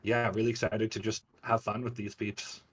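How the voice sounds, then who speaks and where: A man's voice: on the low side, level tone, normal speed. A man chatting casually as a guest game master on a stream, modest and a little nervous. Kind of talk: casual chat.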